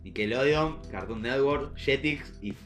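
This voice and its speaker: A young man with an Argentine accent chatting casually as a podcast co-host, haltingly, often repeating words for emphasis. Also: medium pitch, fairly bright tone, soft background music. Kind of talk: casual nostalgic chat.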